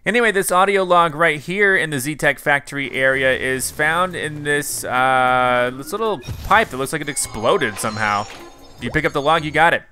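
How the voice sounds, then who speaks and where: Bright-voiced man narrating a game guide. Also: fairly high pitch, moderate pace, faint music behind. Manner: upbeat, conversational, addressing viewers directly. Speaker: gaming channel host.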